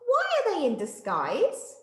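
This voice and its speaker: A flustered, baffled female monkey from a picture book, acted out by a woman. She exclaims in a high, very animated voice. The sound is roomy. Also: measured pace, exaggerated voice. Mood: startled. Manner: exclaiming, puzzled. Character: excitable female night monkey, easily startled and baffled.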